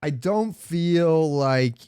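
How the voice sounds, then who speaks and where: A man's voice: fairly high pitch, animated, drawn-out words, dark tone, smooth, no echo, studio quality. A man co-hosting a casual gaming podcast, opinionated and rambling, swearing freely. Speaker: podcast co-host.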